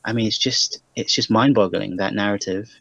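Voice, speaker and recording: A man with a fairly low voice hosts a casual critical discussion, incredulous and mocking. The recording is dry and a little muffled. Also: fairly quick, creaky, fairly bright tone, no room sound. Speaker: panel host. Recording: dull, muffled close recording.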